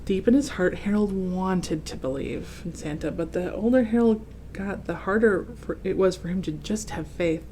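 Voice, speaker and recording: A woman reading a children's picture book aloud in an expressive way, pausing to explain and react to the pictures. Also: fairly low pitch, a little hiss or hum.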